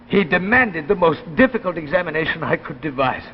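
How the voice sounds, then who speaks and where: Actor as a weary high-voiced professor, old radio. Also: male voice, animated, fairly quick, slight background noise, natural voice. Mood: drained. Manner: learned, hesitant, obedient. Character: weary, submissive older male professor and father. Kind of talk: 1950s radio drama acting. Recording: muffled old radio recording with music behind.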